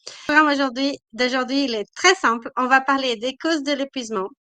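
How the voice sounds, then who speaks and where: A woman's voice: high-pitched, fairly quick, bright, forceful tone, dry recording. A woman with a cold, hosting a live-stream talk as a wellness coach, speaking hesitantly with many fillers. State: has a cold.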